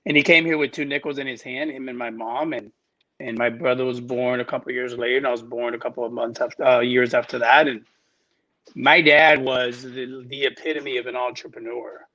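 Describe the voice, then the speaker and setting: A man's voice: mid-range voice, fairly even intonation, a little fast, bright, forceful tone, highs cut off. A middle-aged man from Miami, a podcast guest telling his life story in casual talk, often restarting his phrases. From Miami, United States.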